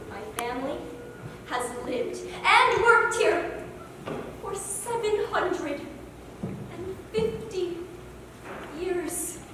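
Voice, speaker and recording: Woman acting a high-voiced Native girl, far off in hall. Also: heavy background noise, heavily acted. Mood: proud, solemn. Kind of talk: stage play monologue and dialogue. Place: theatre stage. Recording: echoey hall from far off, music behind.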